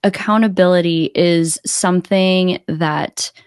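A woman with a slightly low voice talks as a coaching guest on a podcast. Her manner is earnest and reflective, and the sound is close and very dry, with no room echo. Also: fairly even intonation, slow, clear, resonant tone. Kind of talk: casual interview chat. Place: indoor home room on a video call. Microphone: close desktop podcast mic.

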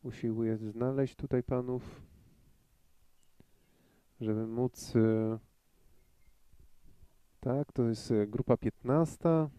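A man giving live pool commentary in a measured way, thinking aloud with frequent hesitations. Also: medium pitch, steady tone, dark tone, smooth, dry recording.

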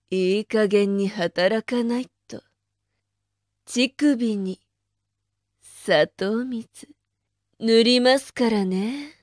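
Woman playing a very slow, drowsy nurse. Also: on the high side, no room sound, clean studio sound. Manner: slow, sleepy, gently menacing. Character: drowsy scolding nurse mumbling in her sleep. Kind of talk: comic anime-parody voice drama.

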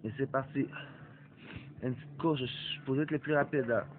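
A man's voice: mid-range voice, steady tone, a little fast. A young man with a Québécois accent who has a cold, commenting on a mobile racing game, swearing and apologizing for it. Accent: Québécois French. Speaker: gaming YouTuber. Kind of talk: casual gameplay commentary. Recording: phone-like lo-fi, faint hiss.

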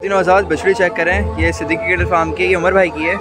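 A young man with a Pakistani accent hosts a street vlog in a high voice. He talks very quickly and chattily, addressing his viewers and repeating phrases for emphasis. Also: little pitch movement, music in the background. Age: 20s. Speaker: vlogger and host. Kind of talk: enthusiastic vlog commentary and street interviewing. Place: outdoors at night in a crowded tented cattle display.

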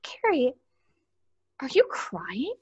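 Wary, husky-voiced defiant sister. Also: female voice, high-pitched, wildly swooping pitch, slow, darkish tone, highs cut off, exaggerated voice. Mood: confused. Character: wary, defiant elder sister in horror drama. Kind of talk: horror audio drama acting.

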